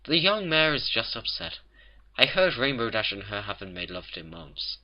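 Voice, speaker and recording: A man's voice: mid-range voice, very animated, normal speed, dull audio, close to an everyday voice. A man voicing a sentimental, gossipy married female baker pony who tells tales dramatically in a cartoon fan story.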